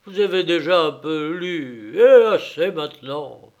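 A man voicing a rowdy drunk masked man who bosses and mocks everyone in a loud, jeering way. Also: on the high side, lively intonation, a little slow, pitched above the performer's own voice.

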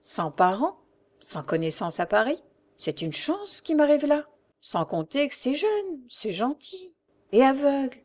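Storybook villain: female reader as a coarse old shrew, sly and brusque, pitched up and forceful in volume. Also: big pitch swings, unhurried, heavily acted. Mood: muttering, gloating. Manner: brusque, colloquial, exclamatory. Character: scheming old beggar woman, coarse, falsely sweet shrew.

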